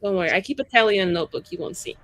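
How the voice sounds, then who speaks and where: A woman's voice: mid-range voice, racing, a bit bright, dry recording. A woman chatting casually in character as a player in a tabletop game, playfully dramatic.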